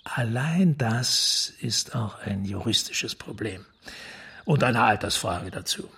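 An actor playing an urgent, persuasive police prefect in a radio crime drama, speaking formally with theatrical emphasis and pauses. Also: male voice, fairly low pitch, expressive, vocal fry, sharp tone, slightly rough, heavily acted. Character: urgent, smooth-tongued high police prefect, persuasive and secretive.

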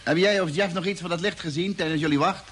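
A man's voice: high voice, quick pace, fairly bright tone. Grumbling spaceship crewman. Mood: businesslike. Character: grumbling, firm but good-natured male spaceship crewman. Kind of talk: 1950s radio drama acting. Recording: old radio recording, faint hiss.

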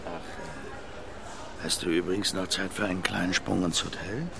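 An actor in a radio drama playing a smooth, scheming, condescending man with a measured, insinuating manner. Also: low-pitched, steady tone, crowd noise. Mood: urging, insinuating. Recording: music or ambient noise behind.

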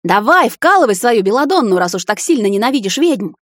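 A woman's voice: fairly high pitch, big pitch swings, a little fast, a bit bright, no echo, voice pushed up. A woman voicing an enraged middle-aged mother who shrieks and hisses accusations, close to tears, in a dry close recording. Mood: furious. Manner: shrieking, hissing, near tears. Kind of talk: audiobook character voicing.

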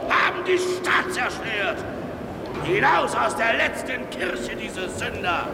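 High-pitched fanatical mob leader. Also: male voice, sing-song, slow, vocal fry, exaggerated voice. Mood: fanatical shouting. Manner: hectoring, declamatory, sneering. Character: fanatical rabble-rousing cobbler, vengeful and pious mob leader. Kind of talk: 1950s German radio play acting. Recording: noisy old radio recording, reverberant, crowd.

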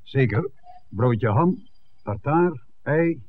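An actor playing a middle-aged village innkeeper, courteous, guarded and inquisitive, in a 1960s Dutch radio play. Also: male voice, mid-range voice, expressive, extremely slow. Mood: businesslike. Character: polite, reserved village innkeeper, a father born locally. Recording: thin, phone-like 1960s radio recording.

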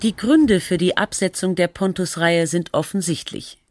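An actress playing a sober, analytical documentary narrator, reading scripted text in a formal, measured manner. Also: female voice, on the low side, darkish tone, a little hiss or hum, close to an everyday voice.

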